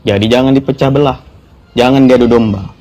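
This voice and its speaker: A man's voice: medium pitch, fairly even intonation, a little fast, warm tone. A man, chatting casually to camera in local slang.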